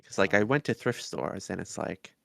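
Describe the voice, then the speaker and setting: Male podcast co-host, conversational, with noticeable vocal fry and a dry, close sound. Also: sits low, very animated, normal speed, no echo. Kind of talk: casual review podcast chat.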